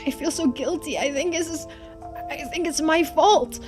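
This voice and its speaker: A woman voicing a confused, distressed young boy in a mystery game, halting and pleading as he talks. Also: high voice, over music, voice pushed up, close to an everyday voice. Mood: desperate.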